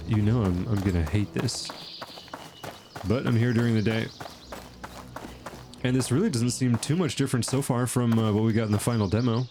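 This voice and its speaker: A man with a lowish voice talks through a game in loose, rambling commentary, pausing on fillers as he thinks out loud. His nose sounds stuffed up and nasal. Steady game noise and ambience run clearly under his voice. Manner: chatty, thinking aloud with fillers. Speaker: gaming channel host. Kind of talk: casual gameplay commentary.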